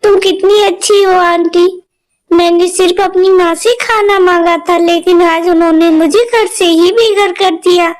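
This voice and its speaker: Sad little girl, tearful and begging, very high; a woman plays her. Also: fairly even intonation, measured pace. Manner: pleading and tearful.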